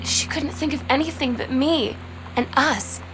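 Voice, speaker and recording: A woman's voice: high-pitched, a little slow, poor audio. A wistful young woman's game diary. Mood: elated. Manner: soft and confiding. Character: young woman writing to her sister, wistful. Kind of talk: narrated game journal entries. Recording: with music underneath.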